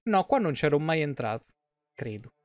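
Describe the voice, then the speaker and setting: A man's voice: a little high, very animated, moderate pace, no room sound, muffled recording. A young man from Italy doing casual live gameplay commentary, playful and foul-mouthed. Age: young adult. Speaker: live game streamer.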